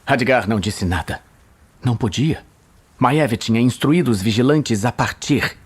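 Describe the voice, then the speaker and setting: A man's voice: mid-range voice, unhurried, slightly rough. A man with a Brazilian accent reading a dramatic scripted fantasy story as narrator. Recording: music bed behind voice.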